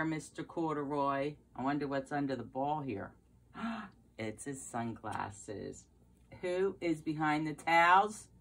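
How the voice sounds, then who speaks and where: A middle-aged woman with a low voice reads a children's picture book aloud. Her pace is unhurried and her intonation very animated, with exclamations and questions aimed at small listeners. She sounds like a preschool teacher, recorded on a phone at home. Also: slightly rough. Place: carpeted basement room at home, indoors.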